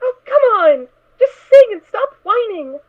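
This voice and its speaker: Squeaky, very high-pitched little filly. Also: female voice, a little slow, heavily acted. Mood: playful, stubborn. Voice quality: squeaky, childlike voice. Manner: childish, excitable, naive. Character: cheerful naive little filly, pony child in spacesuit. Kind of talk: fan audio drama character acting. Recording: thin, phone-like narrow sound.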